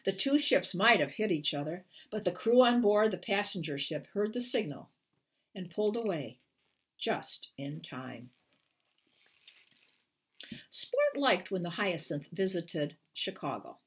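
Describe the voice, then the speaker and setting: A woman in her sixties or older with a husky voice reads a children's book aloud in a deliberate, expressive way, as an author at storytime. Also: mid-range voice. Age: 60s or older. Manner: deliberate, expressive reading with brief explanatory asides. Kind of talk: storytime read-aloud to camera. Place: small living room at home, indoors.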